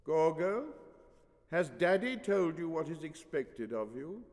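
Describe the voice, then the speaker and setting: A man voicing an indignant, suspicious mother in a storybook, sharp and questioning. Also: fairly high pitch, big pitch swings, slow pace, in a large hall, pitched above the performer's own voice.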